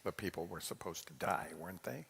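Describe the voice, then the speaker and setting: A man giving an informal sermon, conversational and reflective, posing questions to his listeners in a husky voice. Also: deep voice, animated, a little fast, fairly bright tone. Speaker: congregation leader commenting on the reading. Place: large reverberant temple hall. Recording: long hall reverb.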